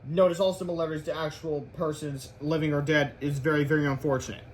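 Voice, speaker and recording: A man reads a children's book aloud as storyteller. His voice is quite high for a man, and he stumbles over some words as he goes. The recording carries faint noise. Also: steady tone, quick pace.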